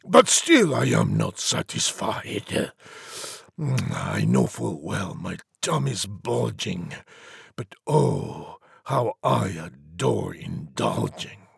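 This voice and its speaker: Man voicing a gravelly, gluttonous big bad wolf. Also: lowish voice, sing-song, slow, no room sound, studio quality, exaggerated voice. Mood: gloating, greedy. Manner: gloating, savouring his words. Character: gluttonous, menacing, self-satisfied male big bad wolf. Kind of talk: animated storybook character acting.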